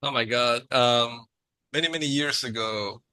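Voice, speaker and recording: A man's voice: medium pitch, a little slow, pushed and bright, smooth, dry recording. A man from Chile, a podcast guest telling his story in a friendly, casual way with plenty of ums and uhs. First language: Spanish.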